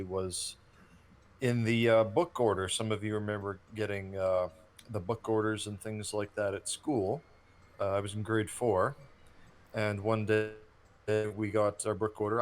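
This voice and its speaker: Male gaming co-host, 30, deeper voice, explanatory storyteller, casual commentary style. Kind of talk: casual gameplay commentary and reminiscing.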